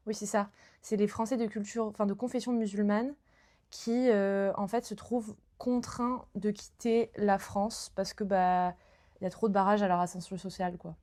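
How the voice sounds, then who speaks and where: A woman's voice: medium pitch, flat delivery, normal speed, dark tone. Chatty young woman streamer. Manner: chatty, lots of fillers and restarts. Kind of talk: casual chat about books.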